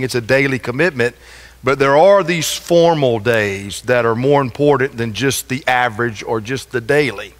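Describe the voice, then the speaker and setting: A middle-aged man preaching a sermon as a pastor, in a halting, conversational way from a church pulpit. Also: medium pitch, a bit bright. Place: large church sanctuary, at the pulpit. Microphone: pulpit gooseneck microphone. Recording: long church reverb.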